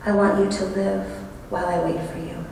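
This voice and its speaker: A woman giving a prepared memorial tribute and poetry reading with measured, solemn phrasing. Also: mid-range voice, flat delivery, creaky, darkish tone. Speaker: college friend speaking at a memorial. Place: large echoing hall at a memorial service. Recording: very echoey hall, distant, noisy ambience.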